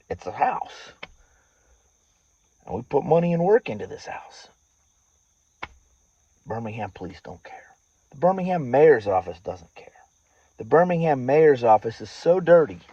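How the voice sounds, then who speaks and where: Male, mid-pitched, indignant and emphatic monologue, repeats phrases for weight, dull recording. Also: a little mellow, no echo. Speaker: farmer and activist speaking to followers. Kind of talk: personal monologue to an audience, political grievance. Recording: dull lo-fi audio.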